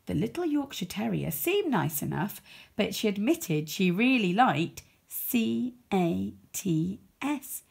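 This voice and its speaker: A woman reading a children's story aloud as a storyteller, expressive, with dramatic emphasis. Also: mid-range voice, slow pace, gravelly, slightly rough.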